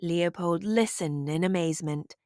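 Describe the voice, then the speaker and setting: A woman narrating a children's storybook aloud in an expressive, deliberate way. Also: on the low side, dry recording, clean studio sound.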